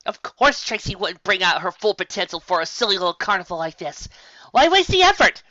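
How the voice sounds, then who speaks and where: A reader voicing an excitable, anxious young female unicorn sorceress who argues with herself in a shrill, frantic way, in a fan fiction reading. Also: brisk, dry recording. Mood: triumphant. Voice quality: shrill, frantic, self-arguing. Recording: dull, muffled home recording.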